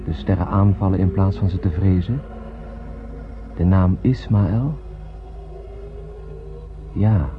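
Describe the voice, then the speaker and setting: A man's voice: low voice, slow, long pauses. An actor playing a dreamy, solemn space wanderer who narrates his tale in a poetic, declamatory radio play manner. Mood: solemn, reflective. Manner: poetic, declamatory, dramatic, with hushed phrase endings. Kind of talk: scripted radio play monologue. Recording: tinny narrow-band radio recording with music.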